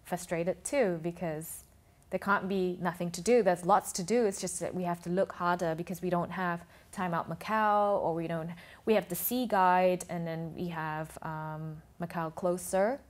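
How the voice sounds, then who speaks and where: Woman, lowish voice, talk-show guest, explanatory and halting. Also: steady tone. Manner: thoughtful, explanatory, speaks in halting restarts. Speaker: food and travel blogger, guest. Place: TV studio.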